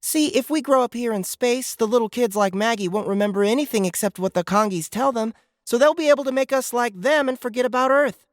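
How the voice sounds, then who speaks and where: A woman's voice: on the high side, a little fast, no room sound, clean studio sound, lightly acted. A woman voicing an earnest, clever but shy eight-year-old girl in a science fiction audiobook. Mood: earnest, insistent.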